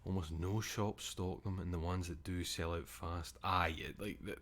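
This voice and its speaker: Young Scottish male streamer, very low voice, loose rambling chat with casual swearing. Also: steady tone, normal speed, fairly bright tone.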